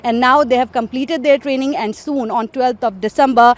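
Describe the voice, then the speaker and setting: Female TV reporter, Indian accent, higher-pitched, on-location presenting. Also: fairly even intonation, moderate pace. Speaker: TV news reporter. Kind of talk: on-location piece to camera.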